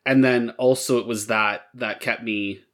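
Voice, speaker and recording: A man's voice: medium pitch, fairly even intonation, a little slow, fairly bright tone. A man of thirty co-hosting a casual podcast, talking in a candid, conversational way. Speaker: podcast co-host.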